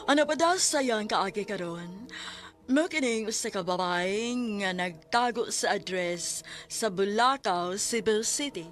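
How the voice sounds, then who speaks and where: A woman's voice: mid-range voice, animated, measured pace. A woman with a Cebuano Filipino accent reading scripted narration as a radio drama announcer. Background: music.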